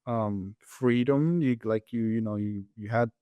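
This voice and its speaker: A man's voice: fairly low pitch, measured pace, smooth, dry recording, lo-fi sound. Earnest man. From Latin America. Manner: hesitant, many fillers, earnest. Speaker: guest, engineer. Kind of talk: interview answers.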